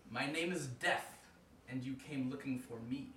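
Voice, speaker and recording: A man voicing Death as an old male spirit who speaks slowly in a deep, raspy voice. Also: fairly bright tone, slight background noise, natural voice. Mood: menacing. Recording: distant roomy mic.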